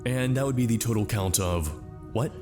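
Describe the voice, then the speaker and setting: A man's voice: lowish voice, moderate pace, music in the background, close to an everyday voice. A man acting a condescending, sarcastic lieutenant who questions people at a military hearing. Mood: sardonic, pressing. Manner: condescending, sardonic, interrogating. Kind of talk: screen drama acting.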